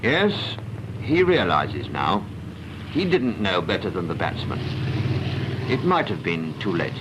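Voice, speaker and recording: An actor narrating as a grave, admonishing naval voice in a 1940s dramatised training film, emphatic and didactic. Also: male voice, low voice, very animated, slow, exaggerated voice. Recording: very noisy 1940s film soundtrack, engine din.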